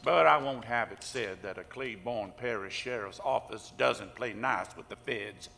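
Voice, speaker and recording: A man's voice: mid-range voice, a little slow, vocal fry, sharp tone. A man playing an easygoing, chatty small-town sheriff in an audio drama. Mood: wry, amiable. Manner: folksy, chatty. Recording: reverberant room with faint noise.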